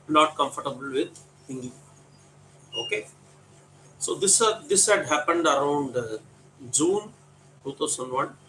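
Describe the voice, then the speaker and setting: A man's voice: mid-range voice, a bit bright, slight background noise, poor audio. Tamil-accented man telling a personal story. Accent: Tamil-accented English. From Tamil Nadu, India. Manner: frank, reflective, with frequent fillers and pauses. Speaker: coaching center teacher.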